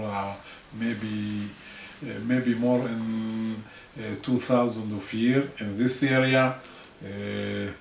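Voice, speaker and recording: Slow, hesitant man speaking from far off. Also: mid-range voice, a little mellow. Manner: hesitant, searching for words in English. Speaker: interviewee. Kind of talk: halting interview answers. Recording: distant mic in an echoey room.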